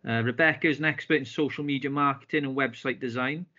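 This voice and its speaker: Bright-voiced man hosting an online meeting. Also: medium pitch, fairly even intonation, quick pace. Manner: polite, with frequent fillers and restarts. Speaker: business club host. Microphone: video call. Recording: dull lo-fi video call audio.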